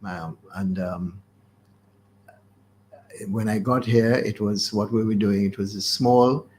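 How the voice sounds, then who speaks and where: A man's voice: fairly low pitch, fairly even intonation, slow, darkish tone, a little hiss or hum. A man, reminiscing as an interview guest in a hesitant, rambling way that circles back on itself. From Caribbean. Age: 80s. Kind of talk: unscripted reminiscing in a radio interview.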